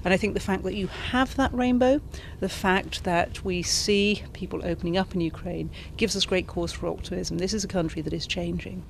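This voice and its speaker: A middle-aged British woman answering interview questions as an ambassador, in a measured, articulate way, seated indoors with a handheld mic. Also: fairly low pitch, fairly even intonation, vocal fry, a little hiss or hum. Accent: British English. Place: indoor reception room, seated on a sofa. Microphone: handheld interview mic held by interviewer.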